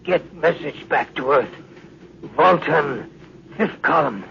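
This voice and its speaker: A man's voice: fairly high pitch, takes it slowly, vocal fry, exaggerated voice. A man playing a dying space doctor, weak, breathless and fading, in a vintage radio drama. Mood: fading, urgent. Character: dying male ship's doctor, weak and gasping. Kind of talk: 1950s radio drama acting. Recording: muffled, noisy old radio recording.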